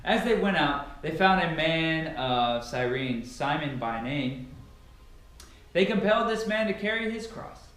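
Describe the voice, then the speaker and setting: Very roomy echo, young man, higher-pitched voice, dramatic scripture reading, emphatic delivery, camera at a distance. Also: fairly bright tone. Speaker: reader of scripture. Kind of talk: dramatic Bible reading aloud. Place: large, sparsely furnished living room indoors. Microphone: camera a few meters away. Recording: very echoey room, camera at a distance.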